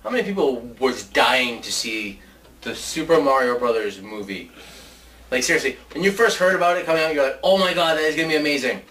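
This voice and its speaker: A man's voice: medium pitch, animated, brisk, a bit bright. A man co-hosting a show in casual banter. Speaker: show co-host. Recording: distant mic, faint background noise.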